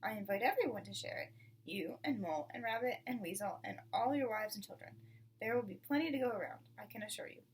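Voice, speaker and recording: A woman's voice: mid-range voice, expressive, fast, close to an everyday voice. A woman voicing a confident, crafty father fox in a storybook, with a jaunty, commanding manner. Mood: generous. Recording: distant mic with clear background noise.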